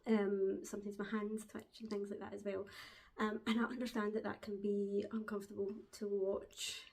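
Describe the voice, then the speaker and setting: A Glaswegian woman hosting a crafting podcast from home, chatting casually to camera, hesitant with frequent ums and quick laughs. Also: medium pitch, flat delivery, gravelly, darkish tone. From Glasgow, Scotland. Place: at home, seated with a dog on her lap.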